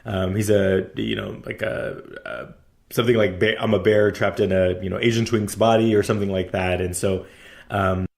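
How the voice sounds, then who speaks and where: Low gravelly male interview host. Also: a little mellow. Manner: halting, many ums and you-knows. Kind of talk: casual interview talk.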